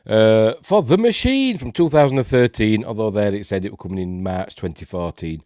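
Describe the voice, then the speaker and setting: A man's voice: on the low side, animated, a little fast, darkish tone, dry recording, studio quality. Snuffly Yorkshire man with a cold. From Yorkshire, England. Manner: rambling, hesitant, many fillers. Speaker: host. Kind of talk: casual live-stream film review.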